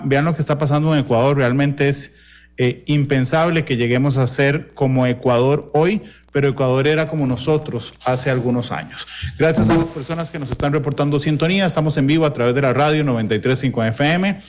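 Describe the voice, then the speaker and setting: A man's voice: medium pitch, a little mellow. A man hosting a live talk show, addressing listeners directly in an earnest way. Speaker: show host.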